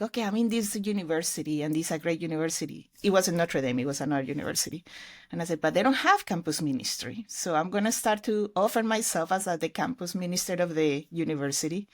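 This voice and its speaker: Middle-aged woman guest, english, low-ish voice, earnest and reflective. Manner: earnest, reflective storytelling with fillers. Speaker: podcast guest, ministry leader. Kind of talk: conversational interview.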